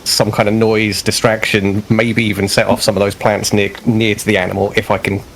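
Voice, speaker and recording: Gravelly low-voiced man playing a tabletop game. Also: level tone, a little fast, slight background noise, low-quality recording. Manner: thinking aloud, asks questions. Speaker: player. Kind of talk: casual tabletop play.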